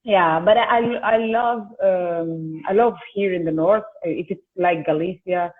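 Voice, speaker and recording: A woman's voice: on the low side, a little slow. A woman, hosting a casual video-call chat and often restarting her sentences as she talks. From Italy. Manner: chatty, with frequent restarts. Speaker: host and singer. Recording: tinny phone-like call audio.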